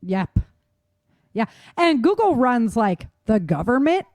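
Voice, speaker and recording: A woman's voice: a little high, big pitch swings, slow, darkish tone, no room sound, studio quality. A woman co-hosting a talk show in informal, conversational chat. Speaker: show co-host. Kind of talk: casual chat.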